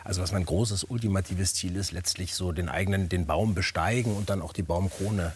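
A man's voice: deep voice, fairly quick, a little hiss or hum. A man from Germany, a guest actor, explaining his hobby earnestly with many hesitations. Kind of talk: casual interview answers.

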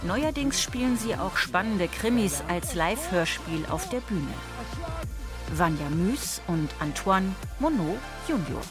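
A woman narrating a scripted intro clip in a crisp announcer manner. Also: mid-range voice, very animated, unhurried. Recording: narration over music.

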